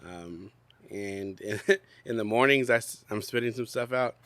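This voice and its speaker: Young man, low voice, congested and coughing, relaxed podcast co-host. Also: a little slow, no room sound. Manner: chatty, rambling with many fillers and laughs. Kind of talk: casual chat. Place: outdoors.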